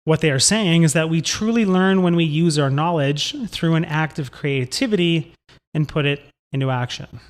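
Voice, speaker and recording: Higher-pitched male book-summary narrator. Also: normal speed. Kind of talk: scripted explanatory narration.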